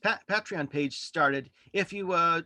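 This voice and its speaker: A man chatting casually as a podcast guest, halting and rambling as he corrects himself. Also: fairly high pitch, pushed and bright, no room sound. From Worcester, United States. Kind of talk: casual interview chat. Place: virtual studio online call.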